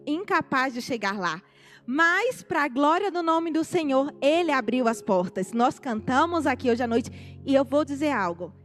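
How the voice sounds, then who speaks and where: High-voiced Northeastern Brazilian woman preaching. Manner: animated, addresses the congregation directly. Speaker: missionary speaker. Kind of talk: testimony sermon. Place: church sanctuary. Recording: live church recording, faint music.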